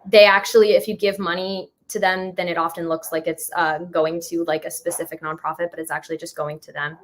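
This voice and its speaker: A woman's voice: fairly low pitch, level tone, brisk, slight background noise. A young American woman chatting informally and enthusiastically as an interview guest. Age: young adult. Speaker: interview guest, musical creator. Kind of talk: casual chat.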